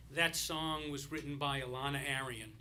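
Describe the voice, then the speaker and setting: A man speaking as a rabbi, telling a loose, rambling story during a service with many pauses and restarts. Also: mid-range voice, sharp tone, a little hiss or hum.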